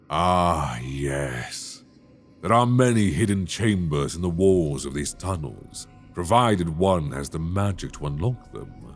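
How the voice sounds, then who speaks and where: Deep-voiced possessive dragon king, voiced by a man, gravelly and unhurried; teasing, intimate ASMR roleplay, lively in pitch, faint music underneath. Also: heavily acted. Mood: amused. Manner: slow, intimate, teasing, soft-spoken. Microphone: binaural.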